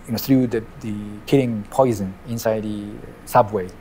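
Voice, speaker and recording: A man's voice: fairly low pitch, fairly even intonation, mellow, a little hiss or hum, poor audio. Japanese-accented man telling his story. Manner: measured, restarts phrases, searching for words. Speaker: imam.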